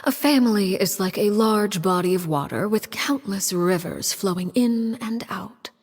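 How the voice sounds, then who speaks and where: An actress playing a poised, coolly menacing aristocratic diplomat in a video game, speaking in a formal, measured manner. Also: female voice, medium pitch, animated, darkish tone, in a large hall. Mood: formal, composed. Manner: formal, measured, veiled threats. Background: music.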